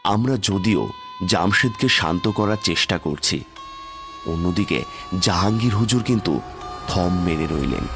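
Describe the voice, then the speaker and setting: A man's voice: sits low, expressive, measured pace, pauses often. A man narrating a scripted horror story in the first person, with dramatic, suspenseful delivery. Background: background music.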